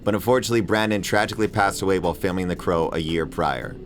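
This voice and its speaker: A man's voice: sits low, fast. A man narrating a scripted comedic video essay as its host, with an irreverent, sarcastic and crudely joking manner. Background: background music with guitar.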